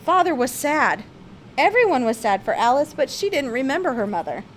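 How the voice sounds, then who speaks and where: A woman reads a picture book aloud in a fairly high voice. Her phrasing is expressive and emphatic, suited to children's narration. Faint noise sits behind her in the recording. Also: moderate pace.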